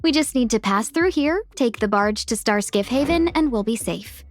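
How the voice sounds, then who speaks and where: A woman's voice: on the high side, animated, normal speed, faint music behind, natural voice. A woman playing a courteous, playful young fox-tailed merchant in a video game, speaking with polite, coaxing formality. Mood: playful, relieved. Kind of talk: English video game voice acting.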